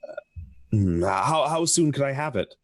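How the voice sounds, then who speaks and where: A man's voice: mid-range voice, sing-song, a little slow, no room sound, clean studio sound, faint music behind. A man playing a nervous, stammering husband with a guilty secret in a radio play. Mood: anxious, eager. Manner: hesitant, stammering, flustered. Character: nervous, henpecked-feeling husband with a guilty scheme.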